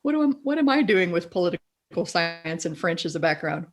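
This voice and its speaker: A middle-aged woman with a deeper, raspy voice, a guest in a casual interview who tells animated stories and laughs often. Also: moderate pace, faint music behind. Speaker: guest, copywriter.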